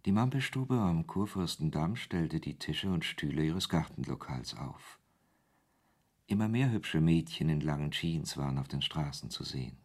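Very deep, husky male narrator. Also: animated, normal speed, darkish tone. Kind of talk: scripted literary narration in a radio drama.

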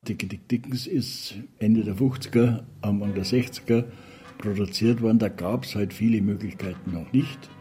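A man's voice: fairly low pitch, normal speed, mellow. An older man, a retired sound engineer, recalling his work in a plain conversational way. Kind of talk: interview recollection.

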